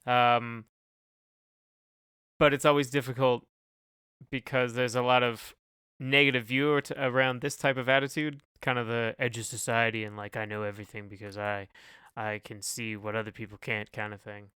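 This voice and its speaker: A man's voice: mid-range voice, level tone, moderate pace, bright, forceful tone, clear, resonant tone, dry recording. A man talking casually and off the cuff as a podcast co-host, hesitant and hedging, often trailing off mid-thought.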